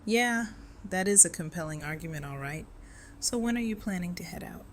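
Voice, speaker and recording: A woman playing a supportive, level-headed friend in an audio drama, with a measured conversational delivery. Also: fairly low pitch, lively intonation, slight background noise, close to an everyday voice. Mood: wry, questioning.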